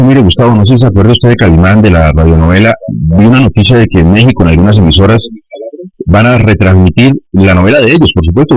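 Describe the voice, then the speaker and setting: A Colombian man hosts a live radio show. His voice is on the low side. He talks as a news presenter and interviewer on air. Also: a little mellow, no room sound, poor audio. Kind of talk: live morning radio talk.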